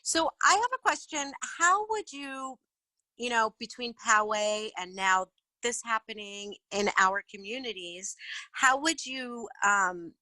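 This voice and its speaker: A woman's voice: on the high side, measured pace, sharp tone, dry recording, low-quality recording. A woman asking an earnest question on a video call, searching for her words as she goes. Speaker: community member asking a question. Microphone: video call microphone.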